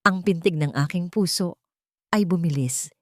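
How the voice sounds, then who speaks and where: A woman reading a scripted first-person dramatic story in a measured, literary way. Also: on the low side, darkish tone, no room sound, clean studio sound. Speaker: narrator of a fictional love drama.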